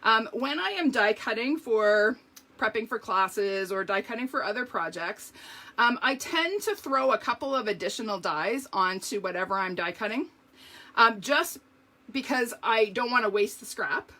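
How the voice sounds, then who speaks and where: A woman showing card-making step by step in a live video, chatty and talking to her viewers, her voice slightly hoarse. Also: fairly high pitch, expressive, moderate pace, sharp tone. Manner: chatty, explains each step, talks to viewers. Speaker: craft demonstrator.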